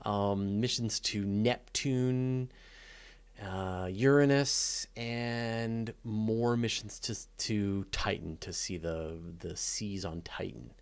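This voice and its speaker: A man's voice: lowish voice, fairly even intonation, slow, gravelly, clean tone. A man talking casually and off the cuff as the host of a live question session, thinking aloud in a conversational, keen way. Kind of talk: casual unscripted Q&A talk about space and astronomy.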